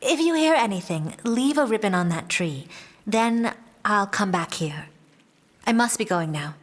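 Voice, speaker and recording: A woman's voice: medium pitch, expressive. Casting: anxious young noblewoman, fantasy audio drama, mid-range voice, formal and earnest, keeping secrets. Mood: grateful, guarded. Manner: earnest, measured, formal phrasing. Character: guarded, anxious young noblewoman hiding her troubles.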